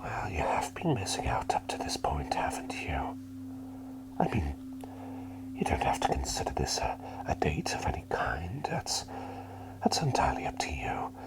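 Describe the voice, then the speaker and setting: A man playing a gentle, doting plague doctor in a soothing whispered ASMR roleplay, attentive and softly playful. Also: very deep voice, wildly swooping pitch, moderate pace, exaggerated voice, low-quality recording. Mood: affectionate, shy.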